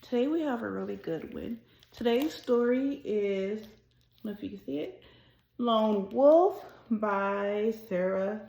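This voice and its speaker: In an echoey room, a woman hosts a storytime for children. Her voice sits fairly high, and she takes her time, deliberately addressing the young listeners as she reads. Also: vocal fry, darkish tone. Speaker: host of a children's read-aloud show. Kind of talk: children's storybook read-aloud. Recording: roomy, echoing sound.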